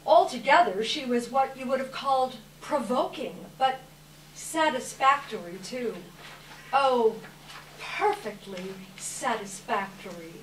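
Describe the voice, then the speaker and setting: A woman narrating a story at a live readers theater, with deliberate, emphatic storytelling phrasing. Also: on the high side, lively intonation. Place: live reading in a roomy hall. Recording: roomy, far from mic, faint noise.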